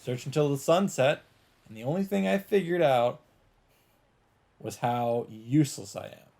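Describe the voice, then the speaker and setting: A man doing a let's play, reading a visual novel's narration aloud in a measured storytelling delivery. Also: medium pitch, expressive, creaky, a little mellow.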